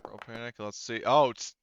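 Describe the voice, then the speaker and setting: A man's voice: medium pitch, a bit bright, smooth, no echo, lo-fi sound. A man casually running a tabletop roleplaying game as game master, thinking aloud as he narrates.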